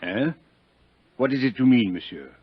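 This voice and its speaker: A muffled, dry old radio recording of a formal French-speaking police inspector. His voice is husky, his questions courteous and pointed in 1950s drama style. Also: mid-range voice, lively intonation, normal speed, dry recording. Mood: pressing.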